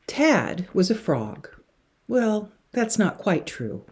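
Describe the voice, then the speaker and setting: A woman reading a picture book to young children in an expressive, dramatic way, with hushed passages and emphatic words. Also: medium pitch, slow, slightly rough. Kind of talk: expressive children's story read-aloud.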